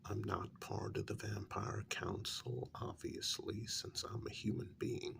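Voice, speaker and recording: A man playing a calm, courteous, frank human mediator in a soft-spoken ASMR vampire role-play, with a breathy, whispery voice and a hesitant, measured delivery. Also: lowish voice, noisy background, exaggerated voice. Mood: polite, gentle. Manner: slow, hesitant, polite, measured.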